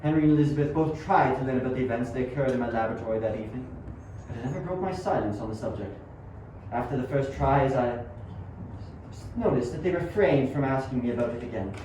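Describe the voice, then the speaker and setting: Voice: mid-range voice, fast talker, dark tone, lightly acted. An amateur performer plays an anguished young scientist, dramatic and obsessive, in a homemade radio drama. The voice sits back from the mic in heavy echo, with a faint layer of noise. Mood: somber narrating. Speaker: amateur student performer and narrator. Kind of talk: amateur radio play acting and narration. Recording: very echoey, distant, faint noise.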